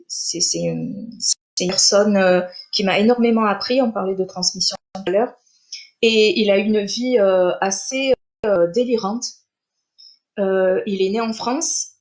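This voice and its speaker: A middle-aged woman born in Armenia, an author chatting on a live stream, speaking with many pauses, fillers and restarts. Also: mid-range voice, a little mellow. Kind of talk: casual live-stream interview.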